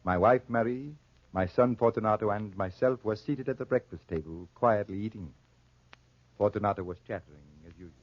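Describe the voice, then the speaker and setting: Muffled 1940s radio, male actor as proud farmer patriarch, low, husky, grave, deliberate, dramatic. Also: no echo, close to an everyday voice. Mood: grave narration. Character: proud, honor-bound Corsican farmer father, narrating his tragedy.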